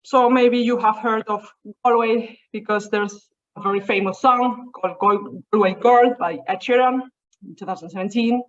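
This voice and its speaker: A woman's voice: medium pitch, moderate pace. A young woman with a Spanish accent gives an informal, storytelling seminar talk over a video call. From Spain. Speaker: seminar speaker. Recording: muffled video call audio.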